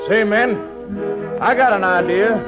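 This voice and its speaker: High, hearty frontier miner. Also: male voice, unhurried. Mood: earnest, joyful singing. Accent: American. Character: hearty, good-natured leader of frontier miners. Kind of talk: 1950s musical radio drama, operatic song. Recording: dull 1950s radio, music behind.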